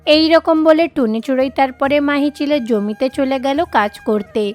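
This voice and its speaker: A woman's voice: high-pitched, moderate pace, darkish tone, soft background music. A woman reading scripted narration for a children's cartoon story.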